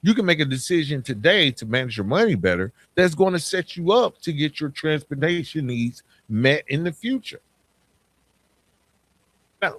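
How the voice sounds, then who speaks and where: Male podcast host for motivational coaching: earnest, emphatic, animated pitch swings, informal direct address, dry close sound. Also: dry recording.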